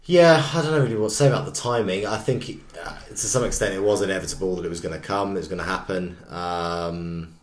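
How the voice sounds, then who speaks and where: A man in his thirties co-hosting a casual gaming chat, relaxed and a little hesitant with his views. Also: low voice, quick pace, few pauses, a little hiss or hum. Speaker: co-host. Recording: roomy echo.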